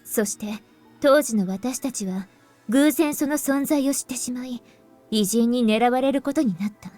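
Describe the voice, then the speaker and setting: A woman voicing a composed, serious young heroine in a visual novel, speaking in a measured, explanatory way. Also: fairly high pitch, a little mellow, lightly acted. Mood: grave, measured. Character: composed young woman revealing grave secrets, anime game heroine. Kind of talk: Japanese visual novel voice acting. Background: game music.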